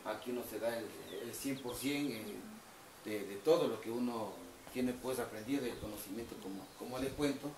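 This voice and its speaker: A middle-aged man with an Ecuadorian accent, giving courteous, halting interview answers as winery staff, often repeating himself. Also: medium pitch, a little mellow. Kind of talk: informal on-site interview answers. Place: covered brick patio at a winery. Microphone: camera a few metres away. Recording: echoey, distant camera mic, background noise.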